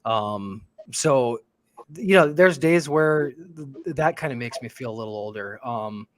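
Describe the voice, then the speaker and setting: Forties male co-host, plain mid-pitched voice, halting and reflective. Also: expressive. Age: 40s. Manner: reflective, halting with many fillers. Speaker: livestream co-host. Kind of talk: casual livestream chat.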